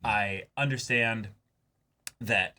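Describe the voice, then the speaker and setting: Bright-voiced man chatting as a show co-host. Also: on the low side, extremely slow. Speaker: co-host of an anime reaction show. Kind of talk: casual discussion and commentary.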